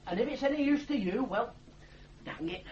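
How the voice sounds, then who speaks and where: An actor in a radio drama playing a meek, kind-hearted, bumbling grown son who stammers hesitantly and apologetically. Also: male voice, very high-pitched, expressive, moderate pace, darkish tone. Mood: hesitant, kindly. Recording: dull, noisy old radio recording with hum.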